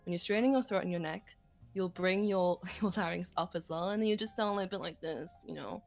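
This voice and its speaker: A woman's voice: on the low side, fairly quick, creaky, a little mellow, faint music behind. A woman explaining vocal technique on a livestream lesson. She talks casually, with many "like" fillers and vivid images. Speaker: singing instructor.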